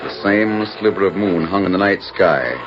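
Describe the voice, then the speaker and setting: A man narrating a 1940s radio western with dramatic, measured storytelling. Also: low-pitched, natural voice. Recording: muffled 1940s radio recording with loud music.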